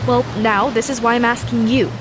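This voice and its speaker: A woman's voice: fairly high pitch, steady tone, brisk, noisy background, close to an everyday voice. A woman playing a chatty, curious space traveler in a sci-fi comedy audio drama, hesitant and given to theatrical exclamations. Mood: chilly, pleading.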